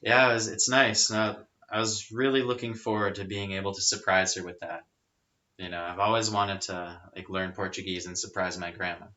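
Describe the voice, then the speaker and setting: A man chatting casually as a podcast guest, explaining things in a relaxed way with frequent fillers. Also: sits low, moderate pace. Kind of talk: casual chat. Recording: rough lo-fi audio.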